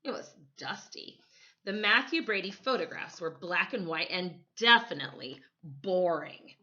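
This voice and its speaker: A woman's voice: lowish voice, lively intonation, unhurried, bright, forceful tone, muffled recording. A woman reading a children's story aloud expressively, as a storytime teacher.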